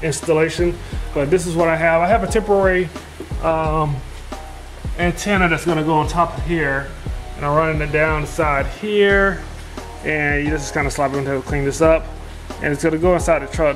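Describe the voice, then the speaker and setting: Casual DIY guy, fairly high voice, filler-heavy self-corrections, phone-recorded in a truck, music in the background. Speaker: DIY vlogger installing a CB radio. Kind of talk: casual how-to narration while working. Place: inside a pickup truck cab and garage.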